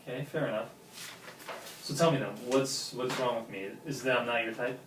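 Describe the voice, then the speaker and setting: A man's voice: medium pitch, brisk, natural voice. An actor playing a lovesick, pushy man pleading earnestly with his best friend in naturalistic film dialogue. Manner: earnest, insistent. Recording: roomy, distant mic with faint noise.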